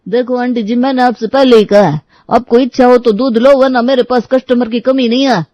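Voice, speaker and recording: Storybook role: insolent milk seller acted by a woman, highish voice, cocky, curt, menacing. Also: steady tone, fairly quick, warm tone, no echo, natural voice. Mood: defiant. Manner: curt, dismissive. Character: rude, cocky milkman, defiant and threatening. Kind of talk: animated moral storybook acting.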